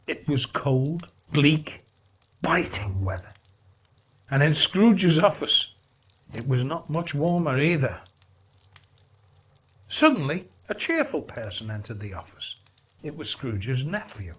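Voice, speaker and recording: A man reading a story aloud as a dramatic narrator, with deliberate, theatrical emphasis. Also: a little high, big pitch swings, breathy edge. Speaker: story reader.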